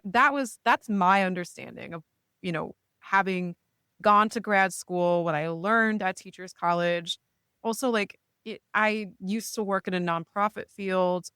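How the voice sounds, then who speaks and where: A woman's voice: on the low side, fairly bright tone, clean tone, no room sound, studio quality. A woman, a therapist speaking reflectively in a casual podcast chat, often checking in with "right?". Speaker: therapist, guest.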